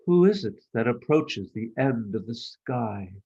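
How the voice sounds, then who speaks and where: A grand angel guarding the sky in a children's story, acted by a man. The words come slowly and formally, with a stately questioning tone, on a slightly dull recording. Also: mid-range voice, lively intonation, creaky, warm tone, slightly rough, heavily acted.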